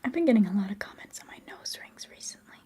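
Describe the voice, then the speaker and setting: A young woman whispering softly and chattily during a live ASMR stream, giggling now and then. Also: medium pitch, animated, quick pace, slightly rough. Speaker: livestream host.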